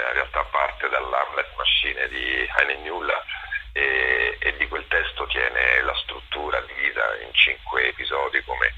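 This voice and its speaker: A man speaks in a reflective interview, stopping often to find the right word. His voice is extremely deep and husky, yet very sharp and forward in tone. The phrases come out in halting pieces. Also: dull audio. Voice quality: gravelly, husky. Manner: halting, searching for words, with many pauses. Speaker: interviewed theatre director and performer.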